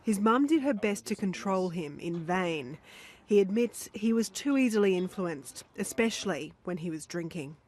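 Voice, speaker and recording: A woman reporter, reading scripted news narration in a measured, serious way. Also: medium pitch, lively intonation, a little mellow, slight background noise.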